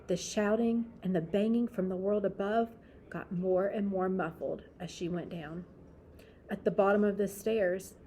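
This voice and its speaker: Slow-paced woman reading a children's story. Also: mid-range voice, warm tone, a little hiss or hum. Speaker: storybook reader. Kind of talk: expressive read-aloud of a children's story.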